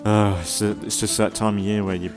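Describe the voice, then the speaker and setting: Low-voiced man, rambling game commentary. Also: little pitch movement, a little fast, over music. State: slightly congested from an oncoming cold. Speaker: gaming let's play host. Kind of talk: casual rambling gameplay commentary.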